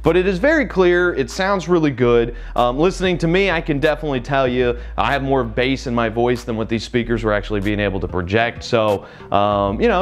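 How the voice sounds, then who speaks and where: A man in his thirties hosting a casual tech review, chatty and upbeat, thinking aloud as he tests a speaker in a small studio and a living room. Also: mid-range voice. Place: small studio with foam-padded walls, then a living room.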